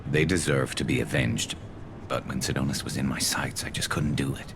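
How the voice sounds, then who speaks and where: Battle worn alien soldier from a video game, super deep n raspy, bit metallic, dry wit, noisy background. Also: male voice, fairly even intonation. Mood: brooding, conflicted. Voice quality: gravelly, resonant metallic rasp. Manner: dry, wry, measured. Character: battle-hardened male alien soldier, dry-witted, loyal, brooding.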